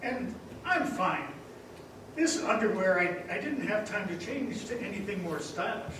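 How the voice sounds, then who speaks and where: A man's voice: high-pitched, expressive, measured pace, background noise. A man playing an eager, innocent old apprentice angel in a live radio drama, earnest and chirpy. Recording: echoey theater stage from a distance.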